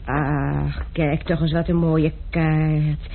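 A man's voice: a little high, level tone, creaky. An actor playing a young male gambler and duelist who talks dryly and mockingly, in a radio play. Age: young adult. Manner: dry, mocking, unhurried. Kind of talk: radio drama acting. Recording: tinny lo-fi radio play recording with hiss.

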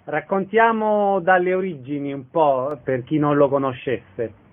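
A man's voice: on the high side, measured pace. A man hosting a radio interview, presenting his guest and putting questions to him. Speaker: radio host interviewing a festival director. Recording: phone-like narrow band radio broadcast.

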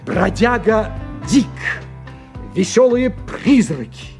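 An excitable scientist in a Soviet-era radio play, his high voice swooping up and down. He lectures with tipsy insistence, stammering and repeating phrases for emphasis, over clear background music. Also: takes it slowly, full character voice. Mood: insistent, explaining. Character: excitable, tipsy, learned male scientist explaining mysteries.

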